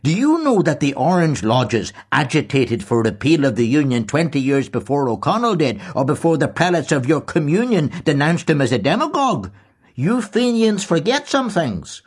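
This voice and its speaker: A man's voice: on the high side, big pitch swings, normal speed, husky, voice pushed up. Man voicing a stern, pompous old schoolmaster. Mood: lecturing. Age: older. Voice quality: firm, lecturing, pompous. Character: stern old male schoolmaster, proud and lecturing.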